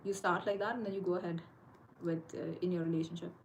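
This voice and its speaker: Brief: young woman, fairly low voice, casual livestream chat, hesitant with frequent fillers, faint room hum. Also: fairly even intonation, quick pace. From India. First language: Konkani. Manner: hesitant, many fillers and restarts. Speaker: livestream host. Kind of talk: casual live Q&A. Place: indoors, abroad while traveling.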